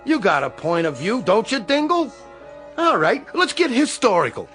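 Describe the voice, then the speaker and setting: Casting: pushy, hot-headed bar loudmouth for radio play, forceful bright voice, wildly animated delivery. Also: male voice, sits high, moderate pace, faint music behind, heavily acted. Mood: needling. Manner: pushy, argumentative, street-tough. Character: belligerent, hot-headed barroom baseball bettor and bully. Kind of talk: radio drama acting.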